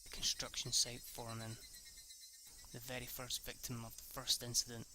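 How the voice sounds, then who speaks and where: A man's voice: mid-range voice, monotone, noisy background. A young man reading a visual novel aloud in a casual let's-read, stumbling and restarting lines as he goes. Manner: reads haltingly, restarts lines and swears at slips.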